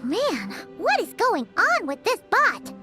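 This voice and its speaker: A woman voicing a tiny, excitable, childlike companion in a video game, squeaky, blunt and curious. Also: takes it slowly, heavily acted. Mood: puzzled. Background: loud game music.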